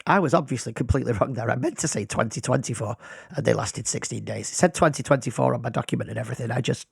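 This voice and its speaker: A man's voice: medium pitch, gravelly, husky, dry recording, studio quality. A man who is losing his voice, hosting a casual solo podcast in a self-deprecating, rambling way. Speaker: podcast host. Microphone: podcast microphone.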